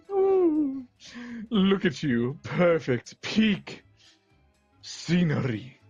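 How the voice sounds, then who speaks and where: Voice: medium pitch, husky, dry recording, dull audio, exaggerated voice. A performer voicing a theatrical villain barber who cackles often and speaks in pompous, old-fashioned phrases. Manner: archaic pompous speech, frequent cackles.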